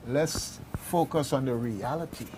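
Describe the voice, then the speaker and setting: A male radio host in casual conversation with co-hosts. He is informal and jocular, circling back and repeating his phrases, at an average pitch, with faint noise around him. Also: unhurried.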